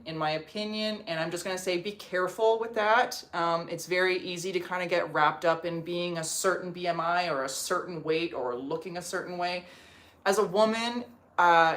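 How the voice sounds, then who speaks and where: A woman's voice: on the low side, a bit bright. A woman from Canada hosting a casual livestream from home, reading and answering viewer comments in a chatty way, with lots of "like" fillers. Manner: chatty, filler-heavy with frequent "like". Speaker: livestream host. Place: home in Portugal.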